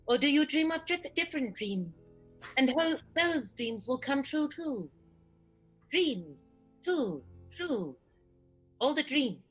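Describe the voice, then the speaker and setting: A woman's voice: high voice, heavily acted, low-quality recording. A woman playing a dreamy, glamorous 1920s film star, musing melodramatically in a radio play. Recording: soft guitar music behind.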